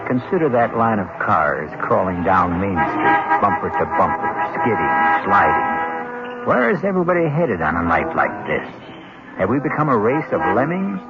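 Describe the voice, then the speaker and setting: A man hosting and narrating a scripted suspense radio show with theatrical, deliberate phrasing. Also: fairly low pitch. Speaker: host and narrator. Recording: dull vintage radio recording. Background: loud music.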